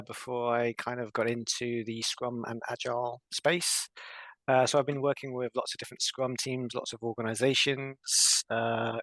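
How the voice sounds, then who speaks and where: Man, gravelly voice, dry sound, podcast guest, plain steady talk. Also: mid-range voice, dry recording. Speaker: guest, Scrum trainer. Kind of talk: casual interview chat.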